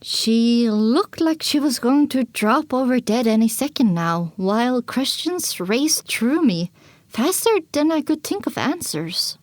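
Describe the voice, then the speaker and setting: Woman reading a first person story dramatically, takes her time, kinda high voice, really dry recording. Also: lively intonation, unhurried, no echo. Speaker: narrator.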